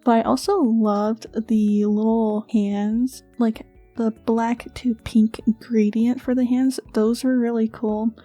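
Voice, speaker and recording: Chatty young woman with a mellow voice. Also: a little high. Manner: chatty, rambling, laughs often, many fillers. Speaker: animator talking over her art video. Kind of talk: casual storytelling voice-over.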